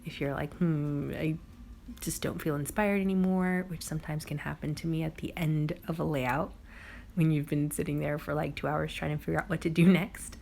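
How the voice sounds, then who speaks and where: A young woman with a hoarse, raspy voice from a cold, chatting casually through a crafting video voice-over. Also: deep voice, fairly even intonation, moderate pace, darkish tone, slight background noise. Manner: chatty, bubbly, lots of "like" and "um". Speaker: crafting vlogger.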